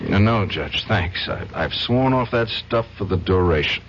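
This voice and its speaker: Troubled defence lawyer, 1970s radio drama: agitated, halting, animated pitch, on a dull, hissy old broadcast. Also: male voice. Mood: uneasy, earnest.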